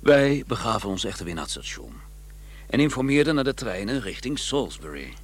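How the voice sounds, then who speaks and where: An actor playing a grumbling, proper gentleman investigator who also narrates a radio play, wry and easily exasperated, with a husky voice. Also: mid-range voice, big pitch swings, brisk. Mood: wry narrating.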